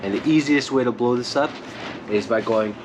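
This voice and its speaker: A man's voice: mid-range voice, moderate pace, background noise. A man talking casually to viewers as a family vlogger, in an informal, chatty way. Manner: informal, addresses viewers as guys. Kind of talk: casual vlog chat to viewers.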